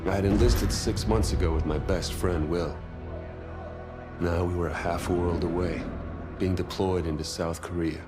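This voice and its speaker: An actor voicing a young Marine who narrates his story in a measured, brooding way. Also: low voice, fairly even intonation, music in the background, lightly acted. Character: young male Marine turned private soldier, reflective narrator. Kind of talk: video game cutscene narration. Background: loud score.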